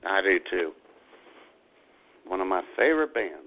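A man's voice: low voice, very animated, moderate pace. A man co-hosting a radio show with casual, wry, joking banter. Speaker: radio co-host. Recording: narrow, tinny phone-like sound.

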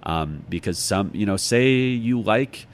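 A man's voice: fairly low pitch, lively intonation, takes it slowly. An American man talking as an interview guest in a reflective, casual and musing way. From United States, Chicago. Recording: clearly noisy background.

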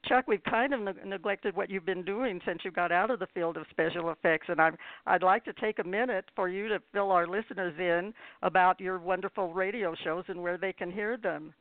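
A woman's voice: on the low side, brisk, few pauses, no room sound. An older woman hosting an interview show, effusive and appreciative, often repeating her phrases. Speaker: radio show host. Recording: dull lo-fi internet radio line.

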